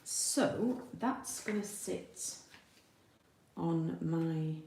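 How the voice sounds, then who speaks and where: Craft demonstrator: woman, Yorkshire accent, low creaky voice, chatty thinking-aloud delivery. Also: drawn-out words, warm tone. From Yorkshire, England. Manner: chatty, informal, thinking aloud while working. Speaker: card-making demonstrator, host. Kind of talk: casual live craft tutorial. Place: indoor room with a window. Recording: roomy, distant mic, faint noise, clicks.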